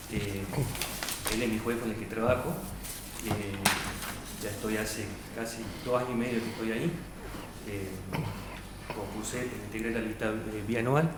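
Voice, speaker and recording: Hesitant Salta man in an echoey room. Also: fairly low pitch. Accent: Argentine Spanish, Salta. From Argentina, Salta province. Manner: hesitant, many fillers and restarts. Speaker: job candidate. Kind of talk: formal oral interview. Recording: echoey room, faint noise.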